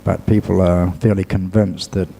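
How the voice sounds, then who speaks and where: A man giving a Buddhist talk as a monk and teacher, speaking in a measured, halting way with long pauses. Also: low-pitched, flat delivery, vocal fry, mellow, slight background noise. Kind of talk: dhamma talk to an audience.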